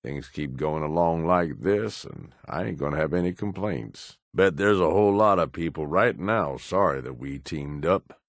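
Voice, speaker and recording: An actor playing a roguish, cynical but loyal raider in a video game, with a folksy drawl. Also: male voice, very deep voice, moderate pace, hardly any pauses, no room sound, studio quality. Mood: fond, earnest. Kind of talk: video game companion dialogue.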